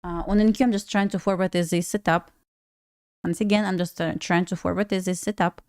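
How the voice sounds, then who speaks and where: A woman's voice: fairly low pitch, steady tone, fairly quick, darkish tone. A young woman from Belarus, a native Russian speaker with a Russian accent, chatting casually and laughing while she day trades on a live stream. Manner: chatty, laughs often, talks to herself.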